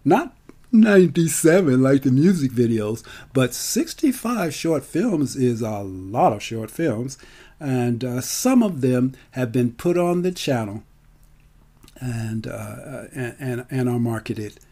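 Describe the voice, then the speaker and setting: A man speaks at a slow, deliberate pace in a relaxed, mellow voice of medium pitch. He sounds like someone promoting his work live and off the cuff, drawing out and repeating key words for emphasis. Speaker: storyteller and film festival organizer. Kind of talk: promotional talk, live and unscripted.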